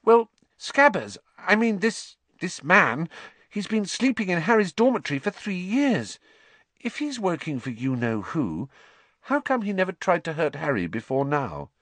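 A man's voice: high voice, sing-song, measured pace, a bit bright, dry recording, pitched above the performer's own voice, heavily acted. A man voicing a timid, polite teenage schoolgirl witch who speaks hesitantly.

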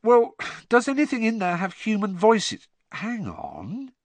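An earnest teenage schoolboy played by a male narrator in a storybook tale. He sounds puzzled and a little impatient, his questions lifting high and lively with hesitant turns. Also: a little slow, no echo, full character voice. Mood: curious.

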